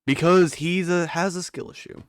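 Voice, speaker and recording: A man's voice: fairly high pitch, steady tone, normal speed, a little hiss or hum. A teenage young man co-hosting a podcast, talking casually in a rambling, slangy way. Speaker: podcast co-host.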